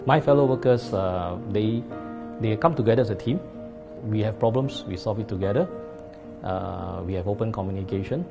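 Gravelly manager, loud music. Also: male voice, lowish voice. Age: 40s. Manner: measured, hesitant with fillers. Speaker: operations director. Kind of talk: documentary interview. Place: office with leather sofa.

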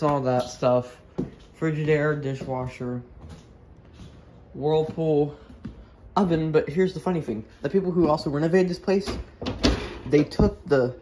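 A young man giving an offhand, rambling house tour in casual vlog style, talking into a handheld phone. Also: medium pitch. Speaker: vlogger. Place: walking through a house. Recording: noisy handheld phone recording with clicks.

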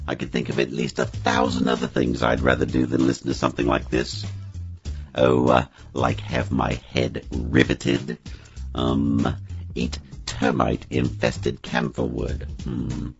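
A man playing a morose, sardonic, self-pitying narrator of a comic science fiction audio drama. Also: exaggerated voice. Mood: sardonic disdain. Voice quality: very deep, gravelly. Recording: dull, lo-fi audio over music.

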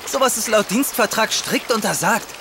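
A man's voice: high voice, moderate pace. A man playing a reckless, boastful institute employee in an audio drama, talking in brash, dismissive slang. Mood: cocky. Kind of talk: German audio drama acting. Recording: clear outdoor ambience noise behind.

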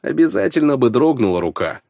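A man narrating fiction in the first person, scripted and expressive, on a close studio mic. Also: mid-range voice, fairly quick, creaky, dry recording. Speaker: audiobook narrator. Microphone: close studio microphone.

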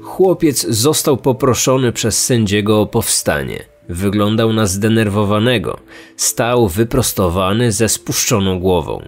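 A man's voice: lowish voice, animated, a little slow. A man reading a scripted true-crime narration as a podcast narrator. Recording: music under the voice. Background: background music.

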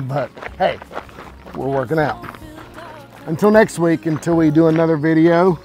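A man's voice: on the high side, measured pace, darkish tone, soft background music. A man, hosting a hiking vlog in a casual, easygoing way on a wooded trail, filming himself with a phone at arm's length. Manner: folksy, easygoing, talks while walking. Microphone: phone camera at arm's length. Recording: outdoor noise, stream and wind behind.

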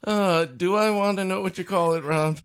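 A man's voice: high voice, normal speed, clear, resonant tone. A man co-hosting a comedy podcast in casual banter, wry and playfully teasing. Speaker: podcast co-host.

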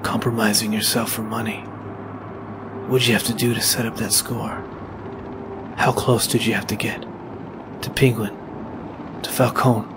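A man's voice: lowish voice, level tone, measured pace, long pauses, background noise, close to an everyday voice. A man playing a brooding, suspicious masked vigilante, terse and guarded, in an audio drama. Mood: accusing. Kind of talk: film-style audio drama acting.

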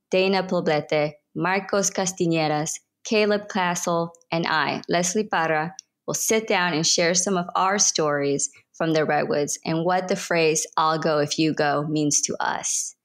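Lower-pitched woman hosting a podcast. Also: unhurried. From Ecuador, grew up in North Carolina. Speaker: podcast host. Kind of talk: podcast introduction and conversation.

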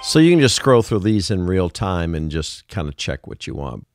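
Low-voiced man giving a software tutorial. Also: big pitch swings, moderate pace, no echo, clean studio sound, soft background music. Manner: informal, explanatory, step by step. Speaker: music production tutorial host. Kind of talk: casual software tutorial narration.

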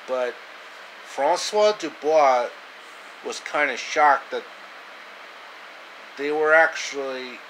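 A man in his thirties narrating a homemade story with halting delivery, recorded at arm's length in a small room. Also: mid-range voice, a bit bright, a little hiss or hum, low-quality recording. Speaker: storyteller, video creator. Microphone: phone or webcam at arm's length.

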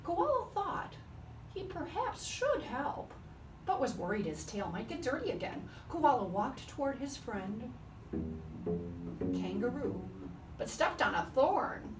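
Slow, animated woman storyteller with cello. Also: high voice, faint music behind. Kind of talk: dramatic, expressive folk tale narration. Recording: echoey room, distant microphone. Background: live cello playing.

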